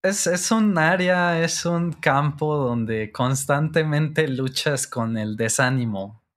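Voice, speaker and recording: A man's voice: on the high side, takes it slowly, a bit bright. A man with a Mexican accent talking in a laid-back, slangy way as a guest in an interview. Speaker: guest, co-creator of a Spanish-learning channel. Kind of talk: casual interview chat.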